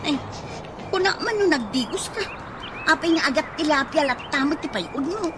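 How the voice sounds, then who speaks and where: A woman's voice: sits high, animated, normal speed, over music. A woman playing a practical, nagging Ilocano wife in a radio comedy drama, chiding and complaining. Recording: radio drama with noisy chirping ambience.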